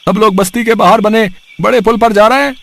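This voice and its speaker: A man voicing a frantic neighbour in a cartoon story, calling out loudly and urgently. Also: high-pitched, fairly even intonation, fairly quick, vocal fry. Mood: urgent warning. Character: frantic shouting male neighbour in a flooded slum. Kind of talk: Hindi cartoon story character voice.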